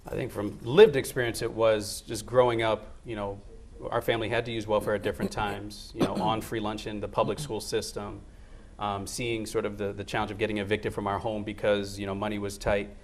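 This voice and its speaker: A man's voice: on the low side, flat delivery, fairly quick, a little hiss or hum. A man running for county office, answering interview questions in a sincere, reflective way. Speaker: political candidate guest.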